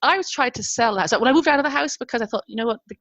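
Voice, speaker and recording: A woman's voice: fairly high pitch, racing, no room sound, muffled recording. Laughing Irish woman in her fifties. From Ireland. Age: 50s. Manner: animated, laughs often while telling her story. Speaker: guest. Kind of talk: casual interview storytelling.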